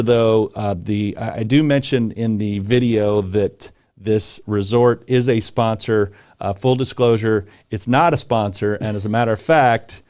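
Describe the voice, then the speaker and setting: A man's voice: fairly low pitch, darkish tone, clear, resonant tone. A middle-aged man hosting a podcast, chatting in a playful, rambling way with restarts. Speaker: podcast host. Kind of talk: casual joking podcast chat.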